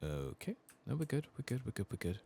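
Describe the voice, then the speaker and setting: A soft-spoken man giving rambling ASMR commentary as he plays a game, sometimes dropping into a whisper. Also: fairly low pitch, big pitch swings, a little fast, gravelly. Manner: rambling, musing to himself; often drops into whispers. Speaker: host of a gaming playthrough channel.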